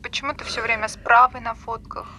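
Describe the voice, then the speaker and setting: A woman's voice: fairly high pitch, fairly even intonation, a little fast, sharp tone, slight background noise. A woman acting in an audio drama as a callous, flippant, fame-hungry character on a phone call, mocking and breezy.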